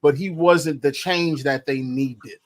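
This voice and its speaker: Man in measured, hedging sports talk. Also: a little high. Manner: thoughtful, hedging, with frequent ums. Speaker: podcast co-host. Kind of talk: casual sports chat.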